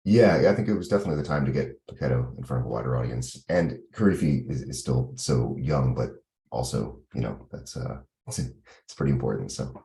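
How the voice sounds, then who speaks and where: Very deep-voiced man in an echoey room. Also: lively intonation, brisk, vocal fry, dark tone. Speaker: meeting participant reporting on an event. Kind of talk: informal work meeting update. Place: online video call. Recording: roomy, echoey meeting audio.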